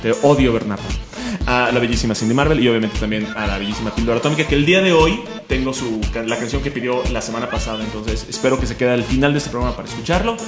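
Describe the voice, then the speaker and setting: A man's voice: medium pitch, reverberant, music in the background. A man with a Mexican accent hosting a comic radio show, joking and enthusiastic. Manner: fast, joking, enthusiastic, laughs often. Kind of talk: casual radio chat.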